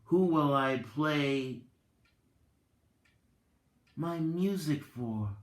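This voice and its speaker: Very slow, dramatic man reading aloud. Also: on the high side, expressive. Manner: theatrical, deliberate, drawn-out phrasing with long pauses. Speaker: reader and commentator, presenter of the recording. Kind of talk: dramatic reading with informal asides. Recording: roomy, echoing sound.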